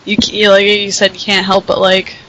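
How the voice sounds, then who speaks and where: A woman's voice: medium pitch, completely flat delivery, moderate pace, fairly bright tone, highs cut off, slight background noise. A woman voice actor chatting casually on a podcast, giggling and full of fillers. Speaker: podcast guest, voice actress. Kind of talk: casual chat.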